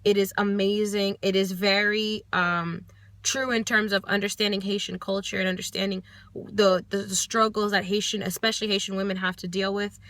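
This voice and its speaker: A woman's voice: mid-range voice, flat delivery, normal speed, a bit bright, no room sound. A young American woman giving a casual book review from her car, thoughtful and hesitant, with many ums and restarts. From United States. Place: parked car.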